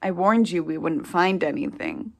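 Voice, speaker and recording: Creaky-voiced actress as skeptical companion. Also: female voice, lowish voice, moderate pace. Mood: mildly exasperated. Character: skeptical companion searching an abandoned house. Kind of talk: audio play acting.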